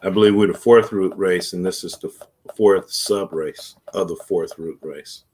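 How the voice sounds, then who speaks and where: Low-voiced man, emphatic and profane. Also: steady tone, normal speed, a little mellow, slight background noise. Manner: emphatic, swears freely. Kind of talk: casual chat.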